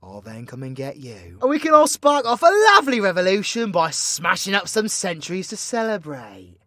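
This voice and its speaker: A cocky British supervillain, acted by a man in an audio drama, close and dry. His slightly high voice swings widely as he schemes and teases with theatrical, sweary slang. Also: huge pitch swings, moderate pace, fairly bright tone, no room sound, exaggerated voice. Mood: scheming, mocking. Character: cocky, foul-mouthed male supervillain plotting mayhem, teasingly mentoring ally. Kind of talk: villain roleplay audio drama, intimate monologue to listener.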